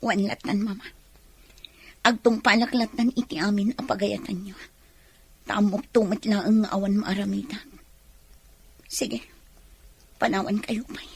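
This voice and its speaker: A woman's voice: mid-range voice, flat delivery, a little slow, creaky, long pauses, husky. A woman acting a sorrowful, obedient daughter in an emotional Ilocano radio drama. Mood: resigned, sad. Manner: emotional, lamenting. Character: sorrowful obedient daughter whose dream is ruined.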